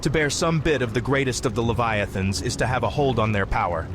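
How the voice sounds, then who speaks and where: Man as a defiant wizard, medium-pitched. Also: fairly quick, noisy background, natural voice. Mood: probing. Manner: blunt, questioning. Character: defiant, wary young human male wizard. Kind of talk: fantasy game cutscene acting. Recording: music behind voice. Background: music.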